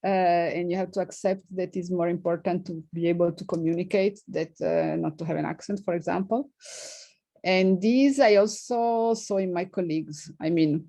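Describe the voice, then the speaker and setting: A middle-aged woman physicist with a non-native English accent, answering questions in a halting way full of fillers and light laughs. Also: lowish voice, dark tone. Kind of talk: informal seminar Q&A.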